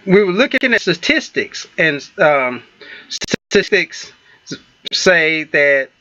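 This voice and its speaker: Southern male host, slightly high voice, chatty and halting. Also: big pitch swings, pushed and bright, no echo, muffled recording. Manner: halting, many fillers and restarts, laughs easily. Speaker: talk show host. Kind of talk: casual radio talk show chat.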